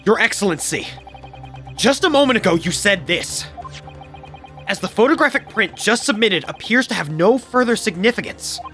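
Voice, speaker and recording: A woman's voice: medium pitch, animated, normal speed, a bit bright, faint music behind, lightly acted. A woman voicing an earnest, dramatic young male defense lawyer in a fan dub of a courtroom game. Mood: urgent.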